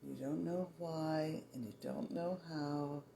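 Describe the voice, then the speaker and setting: Guided meditation voice, androgynous: soft-edged and mellow, measured and soothing, roomy echo with faint noise. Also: mid-range voice, fairly even intonation, vocal fry. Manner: soothing, measured, with long pauses between short phrases. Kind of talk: guided meditation, spiritual affirmations.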